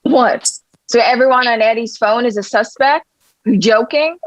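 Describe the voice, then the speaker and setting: Actress doing sassy disbelieving woman, bit high, dry slightly dull call audio. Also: moderate pace, no echo, close to an everyday voice. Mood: incredulous, sarcastic. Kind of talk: table read of a TV drama script.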